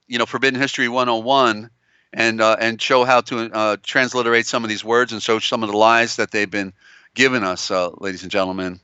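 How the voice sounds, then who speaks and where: A man's voice: mid-range voice, pushed and bright, clear, resonant tone, dry recording, muffled recording. Tired-voiced man hosting a live stream. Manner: halting, meandering delivery with many restarts. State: strained, tiring voice. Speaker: live show host. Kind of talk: live-stream lecture on old scriptures.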